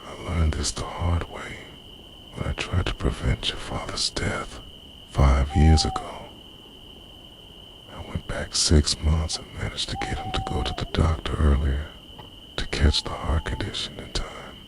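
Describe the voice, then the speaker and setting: A man's voice: very deep voice, wildly swooping pitch, unhurried, gravelly, noisy background, full character voice. A man playing an obsessively devoted time-travelling boyfriend in an intimate, earnest, half-whispered ASMR roleplay. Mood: grave, confessional. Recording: night crickets and owl behind voice. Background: night insects, crickets and hooting owl.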